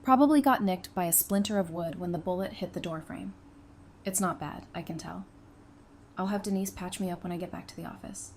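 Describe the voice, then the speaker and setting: An actress playing a brave, loyal, plain-spoken survivor in an audio drama. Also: female voice, fairly low pitch, fairly quick, lightly acted. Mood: wry, unfazed. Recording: clear background noise.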